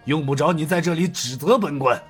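A man's voice: on the high side, a little fast, soft background music, voice pushed up, lightly acted. A man voicing a stern, scheming county magistrate with a commanding, sneering way of talking.

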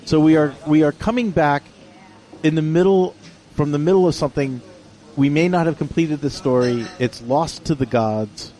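A man's voice: on the high side, dark tone. Bantering man co-hosting a podcast. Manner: bantering, quick to argue and concede. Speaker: podcast co-host. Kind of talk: casual chat.

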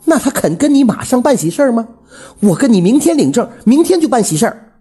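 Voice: mid-range voice, wildly swooping pitch, normal speed, dark tone, breathy edge, faint music behind, voice pushed up, full character voice. A storyteller voicing a coquettish middle-aged village widow, sweetly coaxing and insistent. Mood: agitated, scheming.

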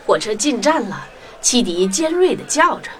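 A woman narrating a scripted children's story in an expressive storytelling manner. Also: a little high, moderate pace. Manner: expressive storytelling with sound imitations. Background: music.

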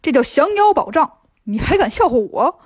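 A woman's voice: high-pitched, very animated, moderate pace, dry recording, clean studio sound. A woman voicing a boastful, self-pitying river monster in a children's storybook. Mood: mocking. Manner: swaggering, sighing theatrically.

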